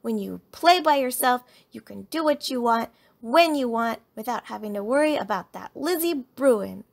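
Storybook little girl bear cub, played by a woman: bossy, excitable, high and emphatic. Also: measured pace. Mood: angry. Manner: emphatic, protesting childish delivery.

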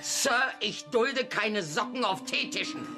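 A woman's voice: medium pitch, lively intonation, unhurried, over music, close to an everyday voice. An actress playing a domineering older female cousin in a radio comedy play, commanding, scolding and theatrical. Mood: bossy. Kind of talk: German radio comedy crime play acting.

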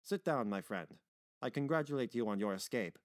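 Man voicing a brisk, shrewd businessman. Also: medium pitch, animated, no room sound, studio quality, close to an everyday voice. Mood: courteous, reassuring. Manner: brisk, formal. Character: brisk, shrewd businessman, courteous but pointed.

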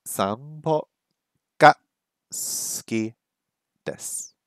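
A middle-aged man live-streaming from a small room at home on a headset mic, chatting casually and repeating practice phrases slowly. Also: mid-range voice, no echo, clean studio sound. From English first language, lives in Hong Kong. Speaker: streamer learning Japanese.

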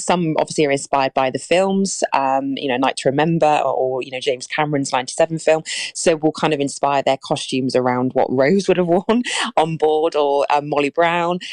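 A woman's voice: low-pitched, dry recording. A woman speaking as a society representative on a radio interview, upbeat and enthusiastic with some fillers.

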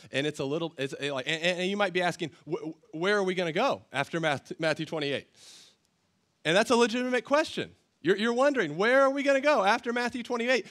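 High-voiced pastor with a worn voice. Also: male voice, fairly bright tone. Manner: conversational, repetitive, emphatic preaching. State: voice worn from a cold. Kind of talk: sermon. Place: church stage, indoors. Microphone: headset mic.

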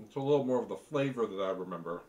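A middle-aged man giving casual tasting commentary as a cooking video host, speaking in a halting, thoughtful way between bites. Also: fairly low pitch, animated, darkish tone. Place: home kitchen, seated at a table.

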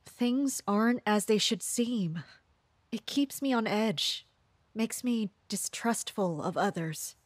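A woman playing a guarded, distrustful commander of mercenaries in an audio drama, measured and introspective. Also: mid-range voice, dry recording. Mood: guarded, pensive. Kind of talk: audio drama acting with inner monologue.

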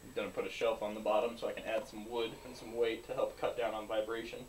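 A man calmly explaining his woodworking setup in a small backyard wooden workshop. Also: fairly low pitch, fast, slight background noise, low-quality recording. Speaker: young woodworker showing his shop. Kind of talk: casual explanation.

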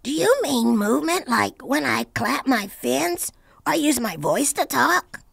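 An eager cartoon shark student, voiced by a woman, gravelly and fried at the edges, pitched fairly high and swinging wildly up and down. It asks curious questions and joins in with playful noises, like a character from a kids' science show. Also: very animated, unhurried, a bit bright, dry recording, studio quality, heavily acted. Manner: eager, playful, makes sound effects. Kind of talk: educational cartoon voice acting.

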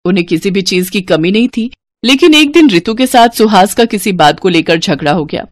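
A woman's voice: mid-range voice, expressive, brisk, dry recording, clean studio sound. A woman storyteller reading scripted narration for a moral story.

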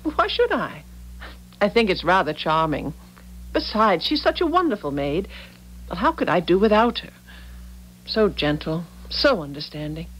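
An actress playing a genteel, indulgent, concerned wife in a radio drama, refined and questioning. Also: female voice, fairly low pitch, very animated, moderate pace, pauses often, fairly bright tone, low-quality recording. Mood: amused. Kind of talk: 1970s radio drama acting. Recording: old radio recording, faint noise, hum.

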